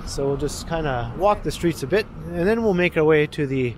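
A man chatting casually while walking a city street and filming a vlog, relaxed and often laughing. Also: fairly high pitch, normal speed. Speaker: vlogger. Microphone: handheld gimbal camera. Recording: loud street and traffic noise. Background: passing traffic.

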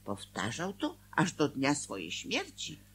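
An actress playing a fretful, worried wife in a radio play, speaking in a hesitant, emotional conversational way. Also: female voice, low-pitched, huge pitch swings, a little slow, a bit bright, slightly rough, heavily acted. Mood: gossipy, disapproving. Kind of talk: Polish radio play acting.

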